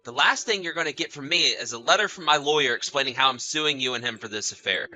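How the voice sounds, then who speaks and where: Man acting a sharp, bitter betrayed husband. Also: medium pitch, lively intonation, fast, low-quality recording. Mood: vengeful, bitter. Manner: sarcastic, accusatory. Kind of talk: acted audio story dialogue and narration.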